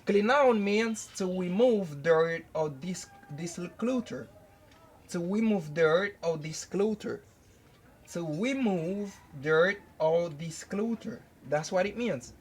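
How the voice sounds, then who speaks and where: A young man teaching an English lesson at a whiteboard, slowly repeating each phrase several times. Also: high-pitched, animated, slightly rough. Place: indoors at a whiteboard. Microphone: camera close by.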